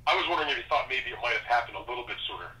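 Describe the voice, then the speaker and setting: A man's voice: medium pitch, very fast, pushed and bright. A man working as a sports reporter, asking conversational questions over a telephone line. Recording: thin, distant telephone line.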